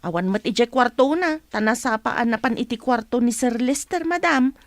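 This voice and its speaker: A woman's voice: a little high, animated, normal speed, no echo. A woman playing a deferential household helper in a radio drama. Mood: flustered.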